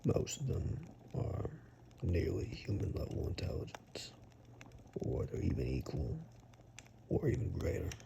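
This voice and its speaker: A man playing a protective monster-hunting woodsman in an ASMR roleplay, half-whispering in a gravelly, deliberate, matter-of-fact way. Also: low voice, wildly swooping pitch, dark tone, a little hiss or hum, full character voice. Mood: grave warning. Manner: slow, deliberate, matter-of-fact reassurance with pauses.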